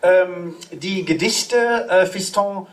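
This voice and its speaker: A man moderating a live literary reading, talking hesitantly with many pauses and fillers. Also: high voice. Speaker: event moderator.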